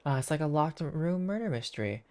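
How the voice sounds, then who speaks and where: Fast, animated male game streamer. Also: a little high, clean tone. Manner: joking, playful, rambling. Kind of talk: casual live game commentary. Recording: game music behind. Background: game music.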